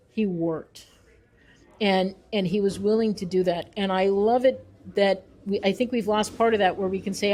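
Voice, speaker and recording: A woman's voice: medium pitch, mellow. A woman giving thoughtful interview answers as a researcher and author, explaining her views in an earnest, emphatic way.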